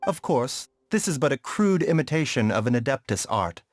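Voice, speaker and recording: Calm formal doctor played by a man, gently wry, courteous, medium pitch, game dialogue, light background music. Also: moderate pace, natural voice. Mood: composed, curious. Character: calm, courteous, clinically curious male doctor. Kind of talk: video game voice acting.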